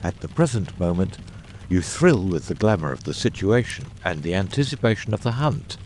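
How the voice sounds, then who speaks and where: A man voicing a grave, theatrical, masterful detective in a dramatised audiobook, with measured, eloquent and commanding delivery. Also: low-pitched, sing-song, a little mellow, slight background noise.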